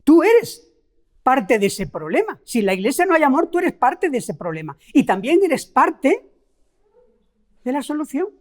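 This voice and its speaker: Middle-aged female preacher from Spain, Spain Spanish accent, fairly high voice, lively emphatic delivery. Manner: animated, rhetorical questions to the congregation; emphatic, slows down and stresses key words. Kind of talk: sermon.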